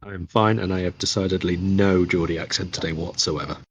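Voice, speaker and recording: A man co-hosting a football podcast, talking in a measured, even-tempered way. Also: sits low, breathy edge, no echo. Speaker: podcast co-host. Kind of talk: casual football podcast chat.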